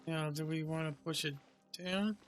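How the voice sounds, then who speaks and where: A man with a slightly high voice chats casually through a video game. He thinks out loud in brief, offhand remarks, with game music playing in the background. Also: fairly even intonation, moderate pace. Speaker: gamer playing through a story quest. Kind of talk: casual gameplay commentary.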